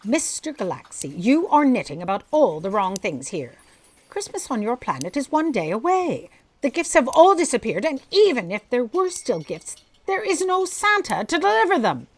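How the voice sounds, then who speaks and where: An imperious, dismissive boss from a comic audio drama. She is curt and exasperated, in a fairly high voice that rises and falls sharply. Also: very animated, normal speed. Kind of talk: audio drama comedy acting.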